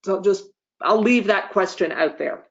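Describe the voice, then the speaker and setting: A speaker hosting an online meeting, giving semi-formal updates in measured, formal wording with frequent hesitant pauses. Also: medium pitch. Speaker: association leader hosting a meeting. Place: online webinar call. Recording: dull, lo-fi online meeting audio.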